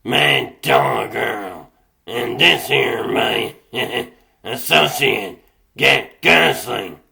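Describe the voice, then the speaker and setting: A man voicing a thuggish dog henchman in a cartoon comic dub, gravelly and slangy with mock-friendly menace. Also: mid-range voice, extremely slow, crowd noise, full character voice. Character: Mad Dog Earl, thuggish dog gangster henchman.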